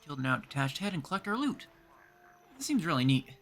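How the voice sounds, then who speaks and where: A man giving casual game stream commentary, thinking out loud as he goes. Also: a little high, very animated, fairly quick, a little hiss or hum. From Canada. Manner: mutters instructions aloud while reading, thinking out loud. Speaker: livestreamer.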